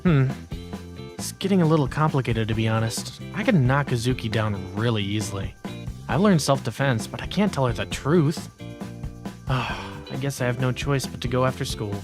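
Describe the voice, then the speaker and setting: A man's voice: mid-range voice, moderate pace, natural voice. Man playing a mild introverted schoolboy, music behind. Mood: reflective. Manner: mild, hesitant, stammers when flustered. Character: introverted teenage schoolboy narrator, secretly capable, meek and resigned. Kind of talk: anime-style dub narration and dialogue. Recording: background music under voice.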